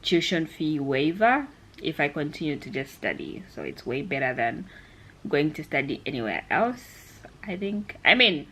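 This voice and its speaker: A woman's voice: deep voice, big pitch swings, moderate pace, sharp tone, slight background noise. A young woman from Zambia talking casually to camera like a vlogger, in a chatty, rambling way that often doubles back on itself. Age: young adult. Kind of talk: casual vlog chat to camera.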